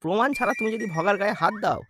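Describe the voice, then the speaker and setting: A man's voice: sits high, very fast, over music, lightly acted. A man voicing a swaggering young village sidekick in a cartoon, blustering and repeating his words. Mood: combative. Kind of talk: exaggerated cartoon dialogue.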